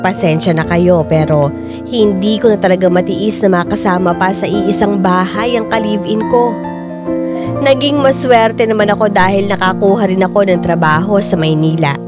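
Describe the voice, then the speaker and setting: A woman's voice: mid-range voice, normal speed, close to an everyday voice. A woman playing an exasperated working mother who tells her own story in a radio drama, as a dramatized confession. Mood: regretful, resigned. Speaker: narrator and lead actress of a radio drama. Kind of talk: dramatized first-person confessional narration. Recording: music bed under the voice.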